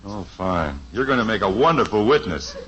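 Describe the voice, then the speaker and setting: An actor playing a patient, wry middle-aged father in a 1950s radio sitcom, with a husky, dry, teasing delivery. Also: on the low side, big pitch swings, moderate pace, heavy background noise. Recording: dull lo-fi old radio transcription.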